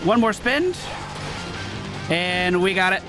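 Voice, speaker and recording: A man's voice: high voice, animated, takes it slowly. A male gaming streamer giving casual live commentary, chatting and thinking aloud as he plays. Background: game music.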